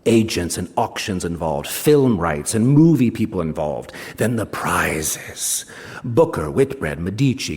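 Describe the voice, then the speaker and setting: A smug, ageing male novelist telling his own story to a hall. His pitch sits mid-range but swings very widely, with wry, theatrical emphasis. Long hall reverb surrounds the live stage reading. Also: gravelly. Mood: smug, measured.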